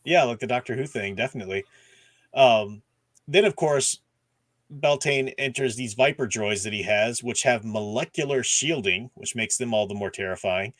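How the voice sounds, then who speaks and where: A man's voice: medium pitch, moderate pace, fairly bright tone, dry recording. An enthusiastic, chatty man co-hosting a casual discussion and recapping as he goes. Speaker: podcast co-host.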